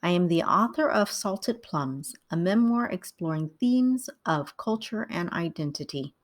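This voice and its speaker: Middle-aged woman, lowish voice, calm and measured tutorial narration. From Vietnam, raised in the United States. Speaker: writing tutor. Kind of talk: scripted instructional narration.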